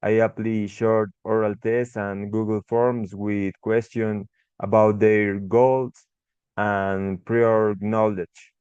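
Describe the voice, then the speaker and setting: Slow halting Ecuadorian man. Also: lowish voice, little pitch movement, darkish tone, smooth, dry recording, muffled recording. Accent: Ecuadorian Spanish. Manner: halting, frequent restarts and fillers. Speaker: English tutor. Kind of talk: short class presentation.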